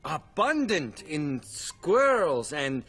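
Bright, gruff Scottish ogre. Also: male voice, fairly high pitch, sing-song, very slow, heavily acted. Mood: awkward, polite. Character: big gruff ogre, awkward, proud, quick-tempered. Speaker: son-in-law at a family dinner. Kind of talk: animated film voice acting.

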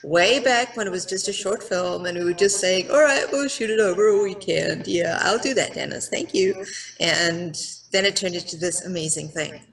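A middle-aged actress with a South African accent, chatting on a video call in a bright room, with a relaxed, self-deprecating manner full of ums. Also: female voice, fairly low pitch, normal speed, vocal fry. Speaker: actress, interview guest. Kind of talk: casual video-call interview. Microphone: headset on a video call. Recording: roomy, distant-sounding call audio.

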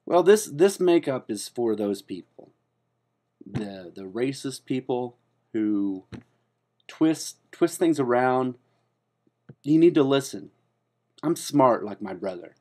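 A man's voice: mid-range voice, slow, long pauses. A man playing a rambling, self-important man. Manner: hesitant and rambling, full of fillers and restarts; deadpan, earnest delivery of absurd claims. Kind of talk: comedic spoof monologue to camera.

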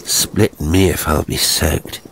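A man's voice: rumbling low, fairly even intonation, takes it slowly, creaky, background noise, full character voice. A peevish young drunken nobleman acted by a male narrator, muttering and grumbling. He is a delicate, cursing sort from a period horror storybook. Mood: irritable.

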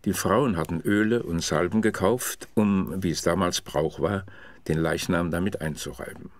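An actor playing a patient father telling Bible stories in an audio drama, measured and explanatory. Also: low voice, close to an everyday voice. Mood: explaining. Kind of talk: storytelling in German audio drama.

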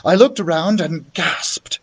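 A man's voice: fairly high pitch, expressive, takes it slowly, creaky, slightly rough. A man reading a children's story aloud expressively, as a library storyteller would.

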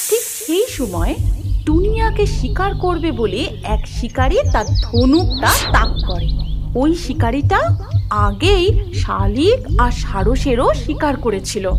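High, animated female storyteller. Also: unhurried, music in the background. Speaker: narrator. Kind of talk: scripted storybook narration for a cartoon.

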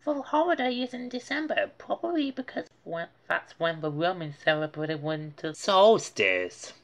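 Woman doing a knowing, high-voiced brainy boy. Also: big pitch swings, normal speed. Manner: explanatory, knowing. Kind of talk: storybook character voice.

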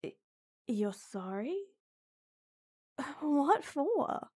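Woman acting tomboy girl, gruff but caring, unhurried, mellow, sighing, exasperated, audio roleplay drama. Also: sits high, very animated, heavily acted. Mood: scolding, concerned.

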